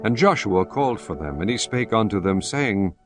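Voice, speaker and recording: Low-voiced solemn male narrator. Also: expressive, normal speed, faint music behind. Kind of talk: scripted scripture narration.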